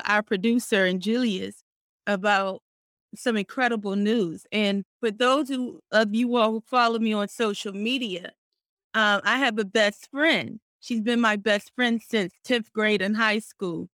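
A middle-aged woman co-hosting a casual podcast, expressive and animated, speaking warmly about faith and community. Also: medium pitch, measured pace, no echo. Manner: warm, expressive, talks about faith and blessings; frequent fillers and restarts, animated storytelling. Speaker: podcast co-host.

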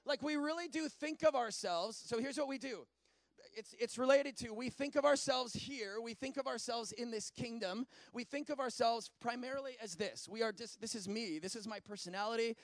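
High-voiced guy in his 40s preaching, chatty and animated, smooth clear tone, dry sound. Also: normal speed, no room sound. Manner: animated, conversational preaching with asides. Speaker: pastor. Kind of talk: sermon.

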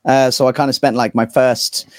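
A man's voice: medium pitch, level tone, moderate pace, no room sound, clean studio sound. A man in his thirties with a southern English accent, chatting casually as an interview guest in an informal, rambling, hesitant way. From England. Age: 30s. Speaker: interview guest, metal band vocalist. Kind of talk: casual chat.

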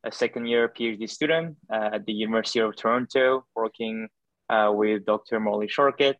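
A man's voice: lowish voice, fairly quick, fairly bright tone, no room sound, highs cut off. A man, a doctoral student answering interview questions in a hesitant way, full of fillers and restarts. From France. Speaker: podcast guest, doctoral student.

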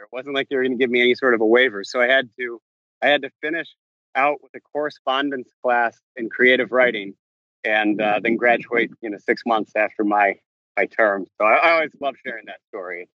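Bright-voiced man with halting restarts. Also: medium pitch, no room sound, highs cut off. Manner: halting, frequent restarts and self-corrections. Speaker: novelist guest. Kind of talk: conversational interview answers. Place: walking around outdoors on a campus.

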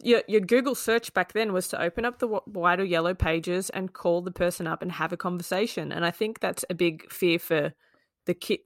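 A woman, co-hosting a casual chat in an animated way, swearing and laughing freely. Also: on the low side, no echo, clean studio sound. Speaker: podcast co-host.

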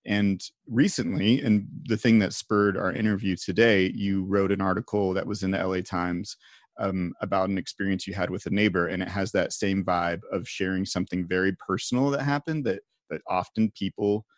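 A man hosts a relaxed podcast chat, laughing freely and rambling a little through fillers. His voice sits on the low side. The sound is dry and close, with no room in it. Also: normal speed, no room sound. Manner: laughs often, loose and playful with fillers. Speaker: podcast host and pastor. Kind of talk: casual chat. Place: remote call.

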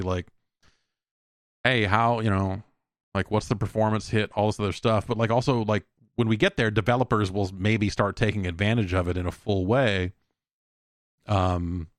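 A man with a mid-pitched voice hosts a casual solo podcast, recorded close and dry. He rambles conversationally, slipping in wry asides and playing out little imagined back-and-forths. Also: a bit bright, no echo. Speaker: podcast host. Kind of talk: casual solo video game podcast talk.

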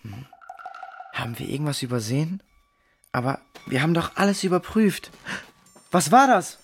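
A man's voice: a little high, big pitch swings, normal speed, breathy edge, full character voice. A man playing a keen, inquisitive boy detective in a children's audio drama, earnestly puzzling things out. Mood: brooding, startled.

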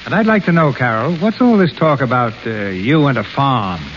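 Man, radio host, smooth formal announcer, dull old broadcast, faint hiss. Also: mid-range voice, lively intonation, moderate pace. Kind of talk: radio hosting.

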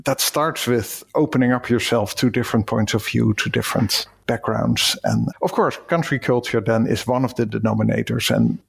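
Dutch-accented man, gravelly and husky. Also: mid-range voice, moderate pace, hardly any pauses. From Netherlands. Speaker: cross-cultural consultant. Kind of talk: podcast interview.

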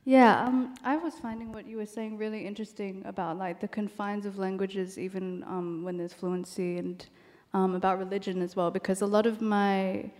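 A novelist woman from Australia, talking thoughtfully with many pauses on a panel and reading from her book. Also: medium pitch, fairly even intonation. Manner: soft, thoughtful, many ums. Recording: long hall reverb.

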